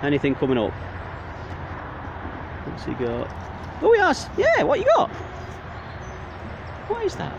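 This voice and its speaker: A man's voice: on the high side, unhurried, creaky, long pauses, highs cut off. Chatty English Midlands man outdoors. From England. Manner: chatty, excitable, rhetorical tag questions. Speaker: magnet fishing vlogger. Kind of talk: casual outdoor commentary. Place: riverbank outdoors. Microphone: handheld or body camera. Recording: outdoor wind and traffic noise.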